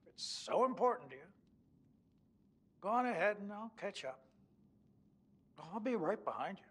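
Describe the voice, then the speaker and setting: An actor playing an embittered, commanding old man in a horror game, husky and breathy, with deliberate theatrical delivery. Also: high-pitched, very animated, a bit bright, heavily acted. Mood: weary, bitter. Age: older. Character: embittered old sanatorium owner, stubborn, drinking, commanding. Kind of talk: dramatic horror game acting.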